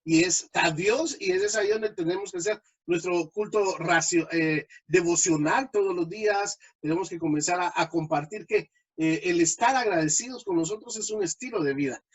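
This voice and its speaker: High husky-voiced man hosting an interview. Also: normal speed, few pauses. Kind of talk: conversational interview.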